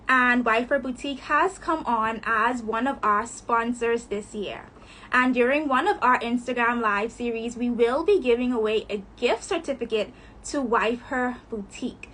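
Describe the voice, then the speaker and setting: A young woman hosts an Instagram live chat in a fairly high, upbeat voice. The phone recording carries a faint hiss behind her. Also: pushed and bright. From Bahamas. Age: 20s. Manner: reads sponsor notes and interview questions in an upbeat way. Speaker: host. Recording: phone livestream with faint noise.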